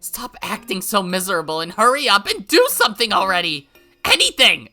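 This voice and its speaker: A woman voicing a frightened young girl who shouts frantically and makes demands. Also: medium pitch, huge pitch swings, fairly quick, soft background music, voice pushed up. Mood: demanding.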